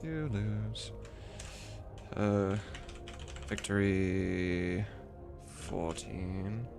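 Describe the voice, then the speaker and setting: A man's voice: deep voice, steady tone, extremely slow, gravelly, music in the background. A man livestreaming as he works, chatting casually with viewers and muttering to himself. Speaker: livestreamer and game modder. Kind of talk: casual chat while working.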